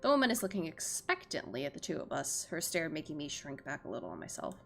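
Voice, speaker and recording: A woman streams a visual novel, reading it aloud with very animated intonation. Her voice sits a little low with some fry in it. She drops in chatty, self-mocking asides, and music plays faintly underneath. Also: fast, slight background noise. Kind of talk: reading game narration aloud with chatty reactions. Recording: music behind the voice. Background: game music.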